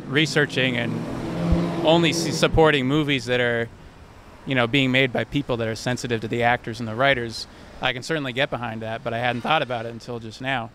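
Flat-voiced young man thinking aloud. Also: medium pitch, moderate pace. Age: young adult. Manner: thinking aloud, restarting sentences. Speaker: passerby. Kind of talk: street interview answer. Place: outdoors on a city street. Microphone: handheld mic held by interviewer. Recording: faint street noise behind.

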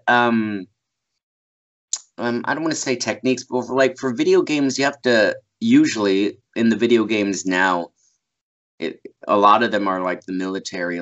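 A man in his thirties chats loosely from a small room at home, circling back with fillers and quick laughs. His voice sits a little low. The video call audio is muffled and dull. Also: a bit bright. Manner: rambling, laughs often, many fillers and restarts. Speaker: voice actor guest. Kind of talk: casual interview chat.

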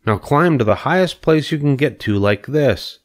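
A man's voice: medium pitch, lively intonation, normal speed, pitched above the performer's own voice, close to an everyday voice. A man voicing a wise, kindly female spider in a storybook read-aloud, patient and explaining.

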